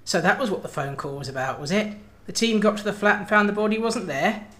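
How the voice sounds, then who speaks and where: An actor plays a male intelligence boss in a radio thriller, furious and sardonic. His fairly high voice is biting and accusatory as he berates his subordinate. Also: lively intonation, quick pace, sharp tone. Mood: menacing, accusing. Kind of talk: tense radio drama dialogue.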